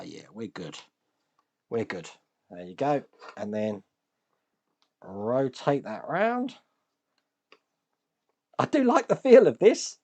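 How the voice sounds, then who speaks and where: A man hosting a model-building channel, his voice hoarse and deeper than usual from shouting, chatting casually and thinking aloud as he works with his hands. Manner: chatty, self-deprecating, thinks aloud while working.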